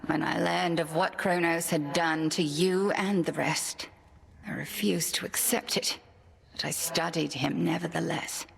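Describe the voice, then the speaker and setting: A woman voicing a grave, stern, wise witch mentor in a video game, solemn and deliberate, in a long cavernous reverb. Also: low-pitched, fairly even intonation, creaky, breathy edge, heavily acted.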